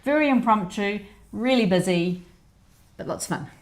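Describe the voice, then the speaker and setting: A woman's voice: mid-range voice, expressive, normal speed. A middle-aged woman co-hosting a livestream, telling everyday stories in a chatty, easygoing way. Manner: chatty storytelling, frequent laughs and backchannels. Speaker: co-host of a weekly livestream. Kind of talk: casual chat.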